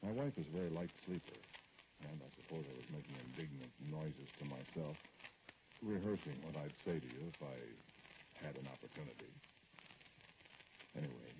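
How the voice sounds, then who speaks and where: Wounded politician in old radio drama, super low gravelly voice, formal halting talk, muffled hissy recording. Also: male voice. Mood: wounded, indignant. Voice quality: very deep, gravelly. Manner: hesitant, formal, pointed, with pauses. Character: aggrieved middle-aged male politician, dignified, brooding, addressing a caller. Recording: noisy, dull vintage radio recording.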